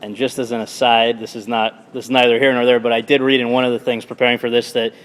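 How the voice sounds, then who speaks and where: A man's voice: mid-range voice, little pitch movement, fast talker, fairly bright tone. A man interviewing an actor, asking friendly, roundabout questions and chiming in with short agreements. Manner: friendly, brief affirmations, hedged wordy questions. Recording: long hall reverb.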